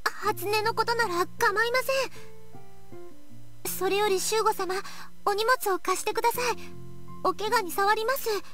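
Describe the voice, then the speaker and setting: A woman voicing a polite, devoted, timid young servant girl in a soft-spoken, deferential manner. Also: extremely high pitch, brisk, music in the background. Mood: earnest.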